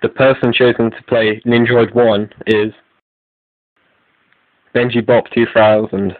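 A man's voice: medium pitch, flat delivery, slow, no room sound. Man giving an update, phone-like sound. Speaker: video creator and host. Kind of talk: scripted video update announcement.

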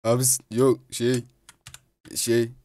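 A man giving excitable, slangy, foul-mouthed gameplay commentary as he chats in a roleplay game. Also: mid-range voice, takes it slowly. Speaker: video host playing a roleplay game. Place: indoors at a computer, voice over gameplay. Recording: clear background noise, keyboard clicking.

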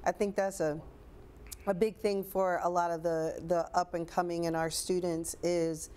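A woman hosting a studio interview, attentive and quick to agree, on a small studio set. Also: on the low side, flat delivery, a little mellow, clean tone. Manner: attentive, lots of agreeing murmurs. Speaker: interview host. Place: small studio stage with chairs and plants.

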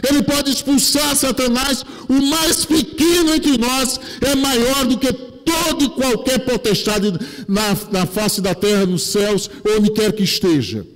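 A middle-aged man with a Brazilian accent preaching a sermon as a pastor, emphatic and explanatory, on a headset mic in a church. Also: very high-pitched. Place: church pulpit, indoors. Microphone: headset microphone. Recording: long hall reverb.

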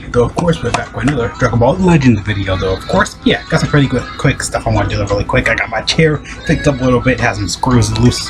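A man with a deep voice giving casual commentary on a mobile game, rambling quickly with many fillers and restarts. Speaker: gaming video host.

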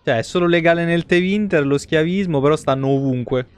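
A man's voice: on the high side, fairly quick, clear, resonant tone, soft background music. A man, giving casual, sweary, joking live commentary on a video game. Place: indoor room, streaming setup.

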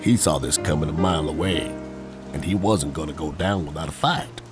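A man playing a gruff, blunt old man with a gravelly voice and a folksy way of talking in an audio drama. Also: a bass voice, a little fast, music in the background. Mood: grim, blunt. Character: gruff, plain-spoken old man, friend of a king.

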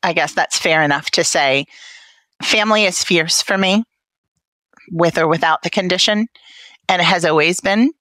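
A woman's voice: on the low side, moderate pace, pauses often, pushed and bright, no room sound. A woman with a Brooklyn accent speaking candidly and wryly in a personal interview. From Brooklyn, New York, United States. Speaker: interview guest, patient family advocate.

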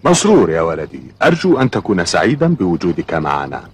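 A man's voice: low-pitched, huge pitch swings, quick pace, husky, no room sound, exaggerated voice. A man voicing a kindly, protective older father figure in a cartoon, formal and caring. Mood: welcoming, fatherly. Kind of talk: Arabic cartoon dubbing.

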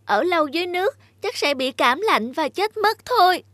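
A woman's voice: very high voice, expressive, moderate pace, fairly bright tone, no echo, clean studio sound. A woman voicing a clever, cunning male cat in a cartoon fairy tale, eloquent and courtly in manner. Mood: pleading.

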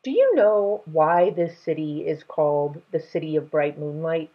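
A kindly storybook king, read by a woman, regal and measured. The voice is husky and swings widely with emphasis. The recording is slightly dull. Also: sits low, expressive, full character voice. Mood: explaining. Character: kindly, regal male king telling family history.

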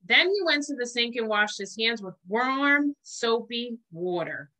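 A woman's voice: a little high, expressive, a little slow, bright, forceful tone, poor audio. A middle-aged American woman reading a children's picture book aloud as a storytime reader. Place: small room indoors. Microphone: webcam video call.

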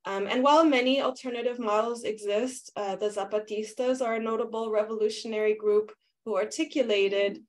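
A woman speaks through a video call from a small room, presenting a conference paper. Her delivery is measured and scholarly, full of small ums and uhs as she works through her ideas. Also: on the high side, steady tone. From Canada. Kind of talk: academic conference talk.

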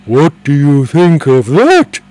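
A man reading aloud and voicing a gentle, lonely male dragon who speaks in a plaintive, wheedling way. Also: fairly high pitch, huge pitch swings, slow pace, exaggerated voice. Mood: proud.

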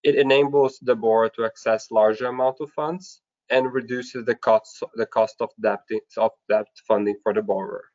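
A man's voice: mid-range voice, fairly even intonation, normal speed, hardly any pauses, a little mellow. A man giving a formal, scripted slide presentation in a webinar, going through his points step by step. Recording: dull, lo-fi online webinar audio.

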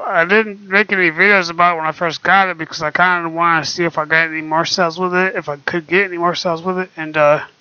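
A young man talking quietly and hesitantly with many fillers, recorded on his laptop microphone at home. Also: fairly high pitch, clean tone, dry recording. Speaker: vlogger. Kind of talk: casual screen-recorded talk. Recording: dull lo-fi laptop mic.

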